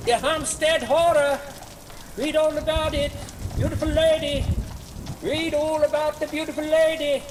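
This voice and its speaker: Very high-pitched newsboy hawking headlines. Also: male voice, little pitch movement, measured pace. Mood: urgent hawking. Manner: sing-song hawking calls. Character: young newspaper seller crying a lurid street headline. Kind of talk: stylised street-crier radio drama acting.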